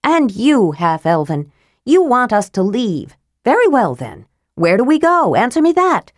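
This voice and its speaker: A woman reading the part of a stout, fearless middle-aged woman who speaks forcefully and demands answers. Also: a little high, very animated, measured pace, no echo, clean studio sound, pitched above the performer's own voice. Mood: demanding.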